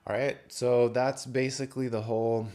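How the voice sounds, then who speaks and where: A man giving a casual guitar lesson, informal and halting, thinking aloud and correcting himself, with a guitar being played. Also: mid-range voice, flat delivery. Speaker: guitar teacher. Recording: guitar playing loudly alongside the voice. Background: acoustic or electric guitar being played.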